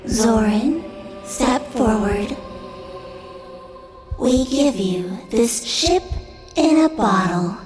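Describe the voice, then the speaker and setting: A woman's voice: a little high, lively intonation, drawn-out words, pauses often, a little mellow. A woman playing a gracious, solemn elven throne ruler, speaking formally and ceremonially in a fantasy audio drama. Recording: roomy echo, music underneath.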